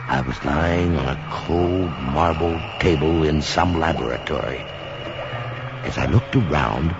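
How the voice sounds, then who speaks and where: An actor playing a haunted, melodramatic man who tells a ghoulish horror tale with theatrical pauses and repetitions. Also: low-pitched, exaggerated voice. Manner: theatrical, halting repetitions for suspense. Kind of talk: dramatic horror storytelling. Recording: dull recording under loud music.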